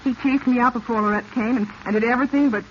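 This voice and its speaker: A woman's voice: a little high, steady tone, fast, natural voice. An actress playing a frank, discontented young woman, a professor's daughter, in a 1940s radio drama. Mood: resentful. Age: young adult. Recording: muffled, hissy vintage radio recording.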